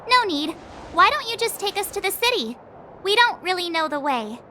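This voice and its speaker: Very high, perky girl adventurer. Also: very animated, moderate pace, pushed and bright, slight background noise, full character voice. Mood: impatient. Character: perky, confident, impatient teenage girl adventurer. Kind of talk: animated video game voice acting.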